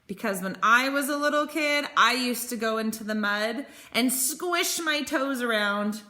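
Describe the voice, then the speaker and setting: A woman in her thirties reading a picture book aloud as a teacher, expressive and sing-song, explaining simply for children. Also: on the high side, measured pace. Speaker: teacher reading to young pupils.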